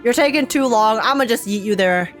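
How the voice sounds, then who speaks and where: A young woman comments on a game as she plays. Her voice sits on the high side, with an animated, slangy, think-aloud delivery. Soft game music plays behind her. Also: brisk. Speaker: streamer reacting to a game. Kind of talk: casual reaction commentary.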